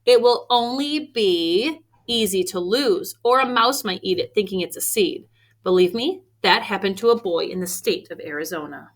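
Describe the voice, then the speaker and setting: A woman reading a picture book aloud to children expressively, with deliberate emphasis on key phrases. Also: mid-range voice. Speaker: read-aloud storyteller for children. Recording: faint background noise.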